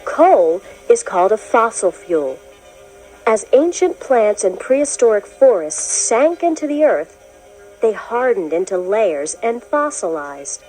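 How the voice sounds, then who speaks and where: A woman reading a children's science book as scripted narration, in a clear, expressive storytelling manner. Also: medium pitch, unhurried, soft background music, rough recording. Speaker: narrator of a children's educational read-along.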